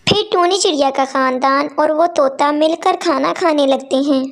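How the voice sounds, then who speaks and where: A woman's voice: high-pitched, moderate pace. A woman narrating a scripted children's story.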